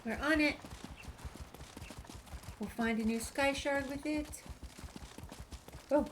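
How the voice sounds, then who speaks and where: A woman with a fairly high voice narrates her gameplay casually. She chats playfully to herself and the game as she goes, with clear noise and game sounds behind her. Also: slow pace. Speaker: gameplay video host. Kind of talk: casual game walkthrough commentary, thinking aloud.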